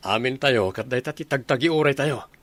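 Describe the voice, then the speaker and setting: A man's voice: mid-range voice, a little fast, a bit bright, dry recording, lightly acted. A Filipino man with a Filipino accent, acting as an earnest young soldier and devoted son in a radio drama.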